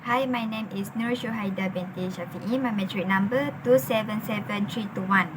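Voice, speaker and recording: A young woman with a Malaysian accent reads out her part of a class report. She stops often on fillers as she talks, at a middle pitch. Steady background noise sits under her voice. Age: young adult. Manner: halting, many uh fillers. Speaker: student presenter. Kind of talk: class presentation.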